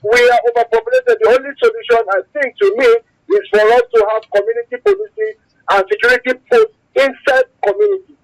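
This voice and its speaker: A man's voice: very high-pitched, lively intonation, fast talker, rough recording. A man with a Nigerian accent calling in to a radio show, giving opinions emphatically, repeating himself and searching for words. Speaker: phone-in caller. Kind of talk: opinionated radio call-in talk.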